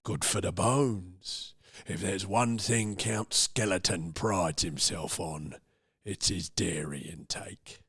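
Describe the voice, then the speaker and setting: An actor playing a creepy, solemn castle butler in a comedy horror radio play, speaking in an ominous, formal, gravelly drawl. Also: male voice, deep voice, a bit bright, slight background noise, exaggerated voice. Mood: formal, sinister. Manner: ominous, formal, drawn-out delivery. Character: creepy, solemn male castle butler serving a strange Count.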